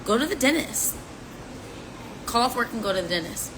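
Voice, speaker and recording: A woman's voice: on the high side, animated, moderate pace. A woman in her twenties chatting casually and teasing while she answers fan questions on a live stream, with playful rhymes. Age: 20s. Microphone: phone. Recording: audio from a phone live stream with background noise.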